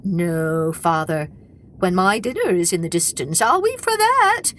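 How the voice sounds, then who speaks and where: A woman's voice: lowish voice, very animated, measured pace, fairly bright tone, slight background noise. A woman voicing a plump, merry porpoise in a storybook, puffing, chuckling and scoffing as it talks.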